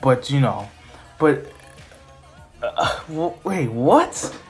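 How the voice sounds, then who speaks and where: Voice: mid-range voice, lively intonation, very slow. A storyteller voicing a friendly, awkward grown male superhero mentor in a retold cartoon tale, with music behind.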